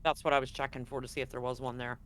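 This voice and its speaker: A man's voice: medium pitch, level tone, rapid-fire, fairly bright tone. A man acting as game master, casually narrating a tabletop roleplaying game with bantering, rambling asides.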